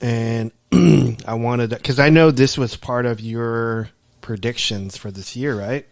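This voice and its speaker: A young man co-hosting a podcast, joking and teasing his guests in a loose, casual way. His voice sits at a middling pitch, and the recording is dry and close. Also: steady tone, unhurried, no echo. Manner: teasing, jokey. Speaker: podcast co-host.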